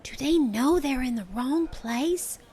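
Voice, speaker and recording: A woman's voice: sits high, animated, slow, warm tone, voice pushed up. A woman voicing an eager, curious little girl in a storybook. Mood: surprised. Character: eager, curious little girl narrator Connie, questioning and promising. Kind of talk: storybook character dialogue.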